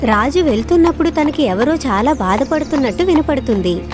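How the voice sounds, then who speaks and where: A woman reading scripted narration for an animated moral story as its storyteller. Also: high voice, a little fast, over music.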